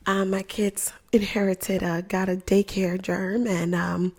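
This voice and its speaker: Hoarse, raspy-voiced woman chatting softly. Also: medium pitch, level tone, measured pace. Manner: chatty, upbeat, repeats herself, many fillers. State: hoarse, losing her voice. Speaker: livestream host, pumping educator. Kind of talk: casual live-stream chat. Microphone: close mic, talking softly.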